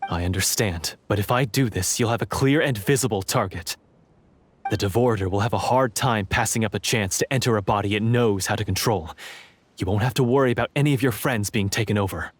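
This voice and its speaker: An earnest fantasy game villager, acted by a man. His voice is fairly low, his delivery grave and formal, as one ready to sacrifice himself. Faint noise lies behind him. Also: fairly quick, close to an everyday voice. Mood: resolute. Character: earnest, self-sacrificing male villager once possessed by evil. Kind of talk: fantasy video game voice acting.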